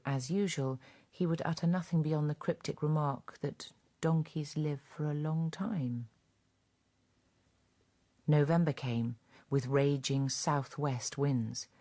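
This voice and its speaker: A woman narrating a scripted literary radio drama in a measured storytelling manner. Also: sits low, mellow.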